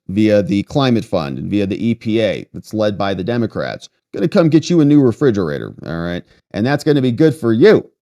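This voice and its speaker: A man's voice: medium pitch, a little mellow. Sarcastic male political commentator. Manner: mocking, sarcastic. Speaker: host of a political commentary show. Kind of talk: casual political commentary on a livestream.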